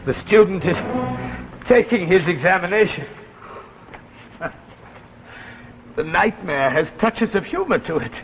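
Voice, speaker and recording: A man's voice: high-pitched, expressive, moderate pace. An actor playing a weary, submissive middle-aged professor and father, learned and hesitant, in 1950s radio drama style. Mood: drained. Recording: muffled old radio recording with music behind.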